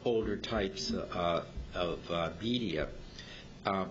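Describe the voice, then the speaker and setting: A man speaking haltingly and hesitantly as he gives an informal technical explanation at a club meeting. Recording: dull, noisy amateur meeting recording with rumble.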